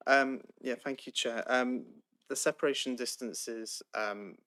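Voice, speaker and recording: A man, a planning officer giving measured, procedural answers at a council meeting with frequent ums. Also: mid-range voice, steady tone, sharp tone.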